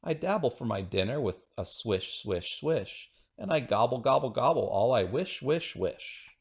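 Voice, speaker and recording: A man's voice: mid-range voice, very animated, moderate pace. A man reading children's rhyming poems aloud in a playful, singsong, rhythmic way. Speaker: children's book reader.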